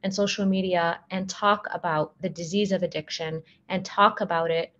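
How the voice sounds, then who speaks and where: A woman in her thirties whose first language is Arabic, earnestly giving a talk on addiction over a video call, often pausing on "um". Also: lowish voice, steady tone, a bit bright. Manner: earnest, with frequent um fillers. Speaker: guest speaker on addiction. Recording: slightly muffled video call audio.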